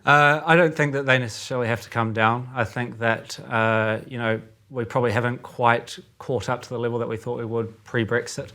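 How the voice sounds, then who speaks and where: A man with a New Zealand accent, a trader discussing currency markets as a podcast guest in a measured, thinking-aloud way. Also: medium pitch, fairly even intonation, bright, forceful tone.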